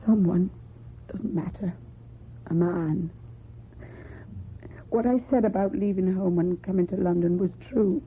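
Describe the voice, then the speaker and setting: A woman acting in a radio drama as a timid, guarded young runaway, speaking in a subdued, husky and breathy voice. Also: lowish voice, steady tone, unhurried, long pauses, a little hiss or hum, lightly acted. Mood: confessing, uneasy. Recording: muffled, phone-like old radio recording.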